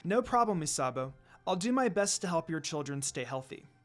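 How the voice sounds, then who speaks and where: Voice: mid-range voice, sing-song, a little fast, faint music behind, close to an everyday voice. A reader voicing a kind young woman healer in a fan fiction story, earnest and reassuring in manner.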